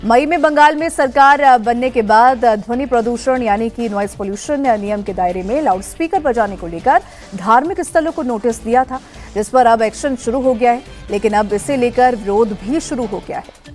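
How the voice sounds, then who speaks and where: A woman's voice: fairly high pitch, normal speed, darkish tone, faint music behind. A woman reading a scripted news report as a voice-over.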